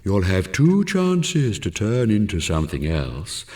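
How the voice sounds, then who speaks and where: Male reader doing an ancient talking apple tree: very slow, dark, heard from a bit off-mic. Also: on the low side, huge pitch swings, far from the mic. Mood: solemn. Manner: slow, deliberate, correcting. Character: slow, wise old magic apple tree.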